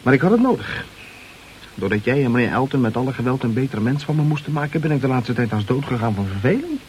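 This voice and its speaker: An actor playing a restless, wry young newspaper reporter in an old radio drama, with an excitable, theatrical delivery. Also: male voice, mid-range voice, quick pace, natural voice. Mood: bored, frustrated. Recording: noisy old radio play recording.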